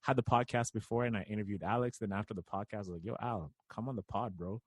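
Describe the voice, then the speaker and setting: A man's voice: on the low side, steady tone, fast, no echo. A man in his twenties from Kenya, co-hosting a podcast in laid-back, slangy chat. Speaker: podcast co-host. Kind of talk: casual podcast conversation.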